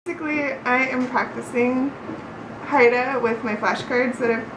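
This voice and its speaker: Higher-voiced woman chatting in an echoey, noisy room. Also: steady tone, normal speed. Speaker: vlogger learning a language. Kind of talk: casual study vlog chat. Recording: roomy echo, clear background noise.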